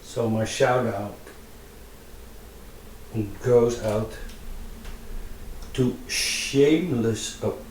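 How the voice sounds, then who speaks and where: A man from the Netherlands with a Dutch accent, native Dutch speaker, talking casually in English while drawing in VR, halting with many fillers and restarts. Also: medium pitch, a little mellow, roomy sound, recorded from a distance, a little hiss or hum, poor audio. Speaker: VR artist.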